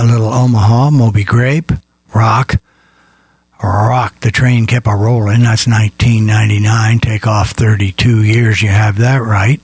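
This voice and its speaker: Low, crisp-voiced man hosting radio. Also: no echo, clean studio sound. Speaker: radio show host. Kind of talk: casual music radio DJ chat.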